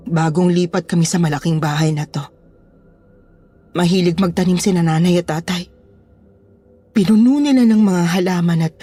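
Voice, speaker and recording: A woman's voice: lowish voice, slightly rough. A woman playing an unhinged, grieving lady employer haunted by her lost parents, acting melodramatically in a horror audio drama. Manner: melodramatic, swinging from soft to shrieking.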